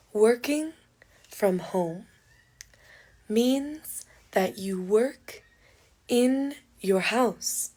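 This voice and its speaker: A woman hosting a podcast for English learners, speaking in deliberate short phrases with pauses between them. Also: mid-range voice, darkish tone. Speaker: English teacher and podcast host.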